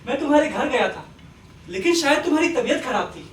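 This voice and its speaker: A man's voice: very high-pitched, animated. Man acting a patient, kindly father, roomy stage. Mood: forgiving, reassuring. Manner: measured, gentle, reassuring. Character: kindly, forgiving middle-aged father, patient and principled. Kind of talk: Hindi stage play acting. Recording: roomy distant stage recording, faint noise.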